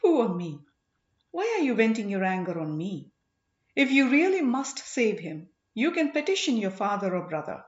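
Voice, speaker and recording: A woman's voice: medium pitch, big pitch swings, normal speed, echoey room, lo-fi sound, natural voice. A woman voicing a sly, mocking male military commander in a storybook, full of feigned deference. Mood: derisive.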